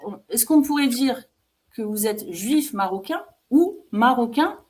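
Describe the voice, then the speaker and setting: A woman hosting an interview on her channel, framing her questions hesitantly with many pauses. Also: a little high, animated, darkish tone.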